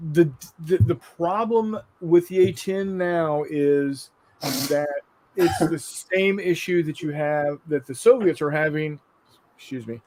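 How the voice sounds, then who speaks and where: Host, male, 50s, slightly high voice, blunt, sweary, loose conversational delivery. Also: a little mellow, slight background noise, low-quality recording. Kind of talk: casual chat, reading live viewer comments.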